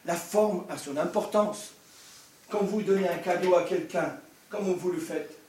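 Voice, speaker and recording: Older male preacher, humorous asides, very high voice, distant roomy hall sound. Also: a little slow, a little mellow. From Scotland. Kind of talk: sermon. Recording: echoey hall, distant mic.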